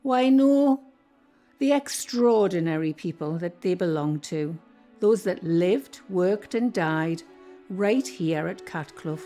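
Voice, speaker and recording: A woman acting the sharp-tongued ghost of an old landlady in a radio play, with a Northumbrian accent and a scolding, bossy way of talking. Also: lowish voice, lively intonation, soft background music. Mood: proud. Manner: scolding, bossy, quick to defend herself.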